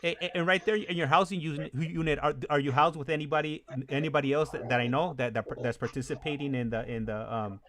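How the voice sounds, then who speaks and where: A man's voice: a little high, fast, hardly any pauses, fairly bright tone. A man hosting a casual interview, stammering and repeating words as he works his way into each question. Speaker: host of a live call-in stream. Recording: very noisy audio with clicks.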